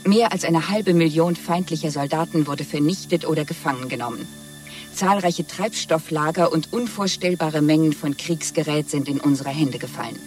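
A man's voice: fairly high pitch, moderate pace, natural voice. A man playing a jubilant propaganda announcer of a totalitarian state in a 1970s radio play, declaiming in an official, triumphant way. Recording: distant announcement with music behind.

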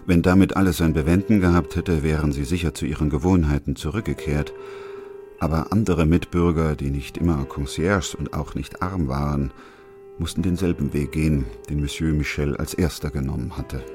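A man's voice: a bass voice, steady tone, moderate pace, music in the background. An actor playing a sober, composed male doctor who also narrates a literary radio drama. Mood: sombre.

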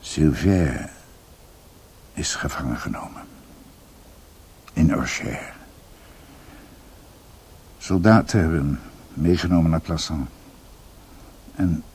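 An actor in a radio drama playing a grave older doctor who tells a dark family history in a halting, somber way. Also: male voice, a bass voice, a little hiss or hum, exaggerated voice.

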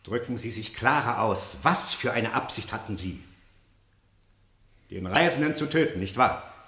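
An actor playing a stern, sceptical general who interrogates with incisive, sardonic questioning in a 1960s German radio drama. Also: male voice, fairly low pitch, expressive, measured pace, pushed and bright. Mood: stern, probing. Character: stern male general presiding over a military tribunal, probing, sceptical. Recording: echoey 1960s radio drama studio recording.

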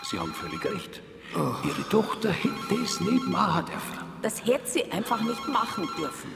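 A dead craftsman's ghost in a radio play, insistent and eerie. The man's voice is high and creaky, swooping wildly through a ghostly, long reverb. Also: huge pitch swings, normal speed, loud music behind the voice, exaggerated voice. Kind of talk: radio drama acting. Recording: echoing, long reverb, loud sound bed.

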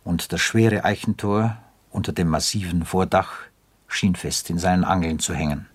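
A man narrating a radio drama in a measured, literary storytelling style. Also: sits low, husky.

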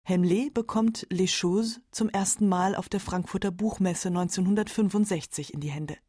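A woman narrating a scripted radio documentary in a calm, factual manner. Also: medium pitch, normal speed, no echo, studio quality. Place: dry radio studio.

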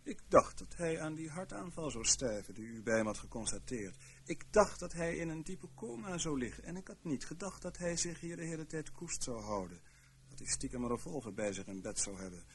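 A man's voice: a little high, lively intonation, brisk, a bit bright, a little hiss or hum. A man acting in a Dutch radio play as a stern, sardonic intelligence chief, with clipped authority and dry sarcasm. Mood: dry, accusing. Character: stern, commanding spy chief, coolly sardonic official.

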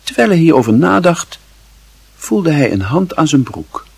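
A man narrating a radio play in a measured, expressive, literary storytelling style. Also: fairly high pitch, vocal fry, slightly rough, dry recording.

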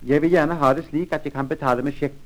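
A man reading scripted textbook dialogue politely and formally, clearly enunciated, on a vintage language record. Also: a little high, steady tone, rough recording. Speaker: language course dialogue reader.

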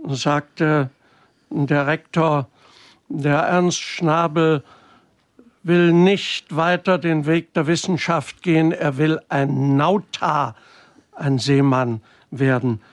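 A man giving an unscripted recollection as an interviewee, in a halting way. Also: on the high side, fairly even intonation, dry recording, studio quality.

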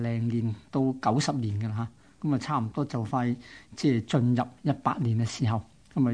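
A man's voice: medium pitch, a little mellow. A man with a Hong Kong Cantonese accent co-hosting a radio show, explaining local history as conversational storytelling. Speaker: radio co-host.

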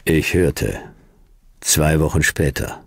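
A man's voice: very deep voice, slow, vocal fry, slightly rough, dry recording, clean studio sound, heavily acted. An older male actor playing a weary, wry private detective, narrating laconically in a noir radio drama. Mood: matter-of-fact. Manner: laconic, deadpan, clipped sentences. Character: weary, wry middle-aged hard-boiled private detective.

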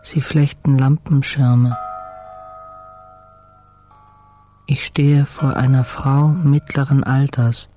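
Slow-speaking anguished male patient played by a man. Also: medium pitch, flat delivery, warm tone, faint music behind, natural voice. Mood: detached. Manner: slow, fragmented, introspective, sometimes whispering. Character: anguished male hospital patient recounting his ordeal. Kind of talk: radio play monologue, scripted first-person narration.

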